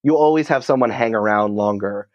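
A man's voice: lowish voice, brisk, no echo, lo-fi sound. A man speaking as an interview guest in a casual, chatty, explanatory way, often dropping in "like". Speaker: interview guest, podcast company creative head.

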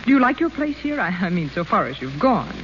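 Cutting, spiteful socialite. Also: female voice, mid-range voice, very animated, a little fast, a bit bright. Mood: sneering. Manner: cold, cutting, sarcastic. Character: spiteful, haughty elder socialite sister. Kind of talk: 1930s radio acting. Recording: muffled hissy 1930s radio broadcast.

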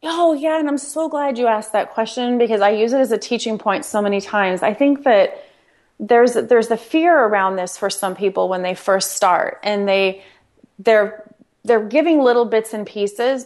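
A middle-aged woman from Nebraska, a podcast guest telling her story in an earnest, animated, conversational way. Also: a little high, moderate pace. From Nebraska, United States. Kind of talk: conversational interview.

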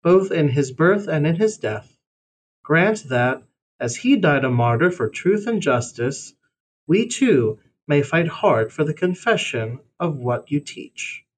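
A man with a somewhat high voice narrates a scripted religious piece. His delivery is measured and formal, yet his intonation moves up and down in a very lively way. There is a faint noise with a low rumble behind him. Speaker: reader of a religious blog essay. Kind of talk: scripted read-aloud narration.

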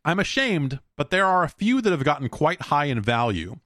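A man's voice: fairly high pitch, very animated, normal speed, a bit bright, dry recording, clean studio sound. A man hosting a podcast solo, chatting casually in a rambling, opinionated, profane way. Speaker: podcast host answering listener mail.

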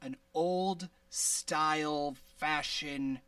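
High-voiced man rambling on a podcast. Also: steady tone, extremely slow, a bit bright, clean tone, no echo, recorded from a distance. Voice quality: high-pitched voice. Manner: rambling, restarts, frequent laughs. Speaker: podcast host. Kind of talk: casual podcast chat.

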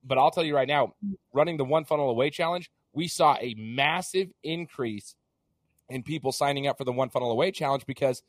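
Hoarse chatty male podcast host. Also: mid-range voice, fairly quick, dry recording. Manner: chatty, many fillers and restarts. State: hoarse, recovering from illness. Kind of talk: casual podcast interview.

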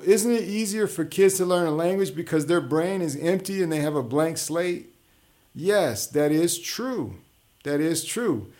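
A man hosting his own show, talking through ideas in a casual monologue with frequent restarts and fillers. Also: fairly high pitch. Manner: conversational, explanatory, many restarts and fillers. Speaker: show host.

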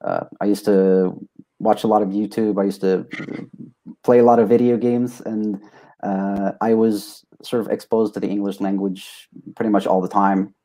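A young man from Sweden, a native Swedish speaker, giving casual, halting, modest answers as an interview guest. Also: on the low side, level tone, warm tone. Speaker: interview guest, test taker.

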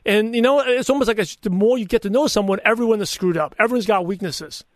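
Podcast guest: high-voiced male, Brooklyn-Chinese accent with a lisp, earnest anecdotal delivery, very dry recording. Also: fast, no echo. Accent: Brooklyn mixed with Chinese. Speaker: podcaster and coach, interview guest. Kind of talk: conversational interview answers with personal anecdotes.

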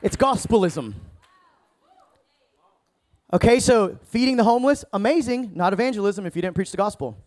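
A man in his thirties preaching a sermon with energy, joking with the crowd and shouting for emphasis. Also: sits high, animated, moderate pace, pauses often. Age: 30s.

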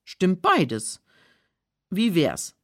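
A persistent, practical woman in a children's audio drama. She speaks slowly in a slightly low voice with lively intonation, sounding encouraging. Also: exaggerated voice. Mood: hopeful.

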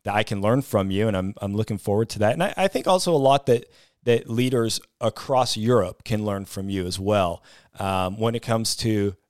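A man hosting an interview, talking in a halting way with many fillers and restarts. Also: lowish voice, a little mellow, clear, resonant tone, no room sound, studio quality. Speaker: host.

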